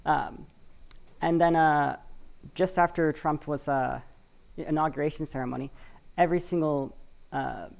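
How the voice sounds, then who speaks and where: Woman from washington state, low voice, gravelly, casual conference speaker, lots of ums and sort-ofs. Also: measured pace, long pauses, a little mellow. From Washington State, USA. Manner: conversational, many fillers and hedging words. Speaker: conference speaker for a community cooperative. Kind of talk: live conference talk. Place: conference stage, in front of an audience.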